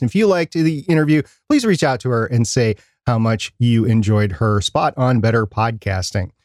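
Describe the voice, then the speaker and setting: A man co-hosting a podcast, talking and reading aloud in an animated, emphatic way. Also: mid-range voice, dry recording, studio quality. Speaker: podcast co-host. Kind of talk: podcast talk and reading out a listener email.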